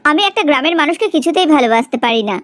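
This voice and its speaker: A woman's voice: sits high, lively intonation, very fast, no echo. A woman playing an earnest, self-sacrificing rich young woman in a cartoon melodrama, speaking plaintively. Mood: upset, firm.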